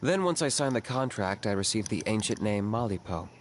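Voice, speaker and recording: An actor playing a calm, pragmatic young male hunter who speaks evenly and measuredly. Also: fairly low pitch, slight background noise, close to an everyday voice. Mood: explaining. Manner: calm, measured, even-tempered.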